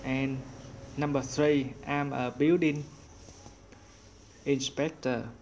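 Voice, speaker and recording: A man teaching an online English lesson, working through exercises in a slow, methodical way. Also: medium pitch, fairly even intonation, a little mellow, highs cut off, slight background noise.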